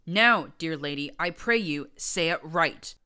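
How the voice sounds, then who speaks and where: A woman's voice: fairly low pitch, lively intonation, normal speed, pushed and bright. A woman voicing a pompous, deranged undead male pony prince who hisses with false gentility and breaks into screams. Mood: hissing mock-gentle. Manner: hissing, falsely genteel, erupting into screams.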